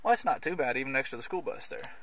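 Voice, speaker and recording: A man giving a casual, hands-on walkthrough as a video host, with somewhat halting, hesitant phrasing. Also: medium pitch, lively intonation. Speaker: host of a how-to video on CB radio installation. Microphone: handheld camera's built-in microphone. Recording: clicky handheld camera audio, background noise.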